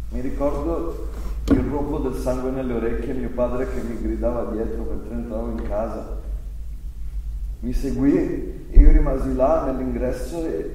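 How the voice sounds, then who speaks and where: A man's voice: medium pitch, mellow, close to an everyday voice. Actor as haunted young man in echoing theatre. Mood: tense, remembering. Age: young adult. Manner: halting, repeats words while recounting. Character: agitated 25-year-old son haunted by his father's past. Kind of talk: stage monologue and dialogue, reading performance. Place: theatre stage, picked up from a distance. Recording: roomy echoing theatre, distant mic, faint noise.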